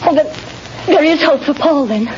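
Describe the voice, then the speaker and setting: A noisy old radio recording holds an actress playing a desperate mother. Her voice is high, plaintive and hesitant, in the earnest style of vintage radio drama. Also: moderate pace, darkish tone, lo-fi sound, natural voice. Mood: anxious. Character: anxious, desperate wife and mother of condemned man. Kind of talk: 1930s radio drama acting.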